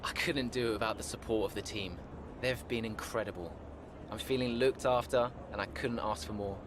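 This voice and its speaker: A polite, guarded young racing driver, played by a male actor, gives media answers in a fairly low voice for a racing game. Clear outdoor noise sits behind him. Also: moderate pace, natural voice. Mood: modest, grateful. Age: young adult.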